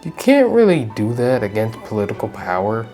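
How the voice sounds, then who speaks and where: A man's voice: mid-range voice, wildly swooping pitch, fairly quick, dark tone, soft background music. A man giving wry, chatty commentary as he plays a story game. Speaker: gaming youtuber. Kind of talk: casual commentary over gameplay.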